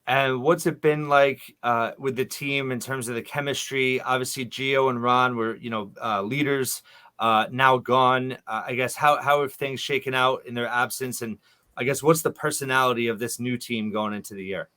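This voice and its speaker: A male podcast host conducting a sports interview. He speaks conversationally, in a measured, slightly halting way, at a middling pitch for a man. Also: steady tone, pushed and bright.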